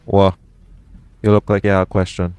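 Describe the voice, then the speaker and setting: Casting: male actor as roguish, guarded pirate captain, deep voice, measured intimate delivery for audio roleplay, noisy ambient backdrop. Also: flat delivery, natural voice. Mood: guarded, wistful. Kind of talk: intimate audio roleplay acting addressed to the listener.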